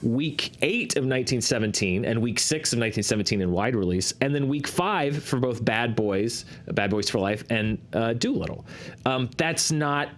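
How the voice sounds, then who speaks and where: A man with an average-pitched voice talks as a show host doing analysis. He explains things in a measured, conversational manner, like someone walking an audience through figures. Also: lively intonation. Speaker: host of a box office chart show.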